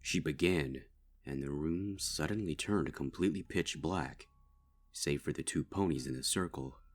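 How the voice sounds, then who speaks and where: A man giving grave, measured narration of a dark fan story. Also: very deep voice. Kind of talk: dark fanfic audiobook narration.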